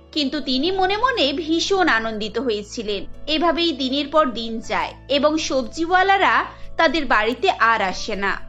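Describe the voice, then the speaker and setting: A woman's voice: high-pitched, fairly bright tone. A woman giving scripted narration for a cartoon story. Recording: dull audio over background music.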